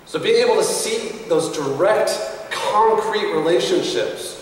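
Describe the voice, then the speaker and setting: A man in his thirties giving a prepared conference speech at a podium in a hotel conference room, in an earnest, measured way and pushing his pitch up to stress points. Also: lively intonation, a little hiss or hum. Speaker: conference speaker. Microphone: podium mic, recorded by a camera across the room. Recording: echoey hall picked up from far off.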